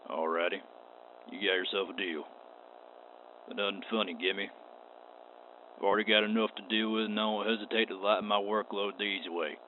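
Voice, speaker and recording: An actor playing a stern, wary space western marshal in a radio play, with a terse, folksy drawl. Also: male voice, on the low side, steady tone, a little fast, pauses often, lightly acted. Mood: wary, firm. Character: gruff, wary, stern male frontier marshal. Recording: tinny band-limited audio with clear noise.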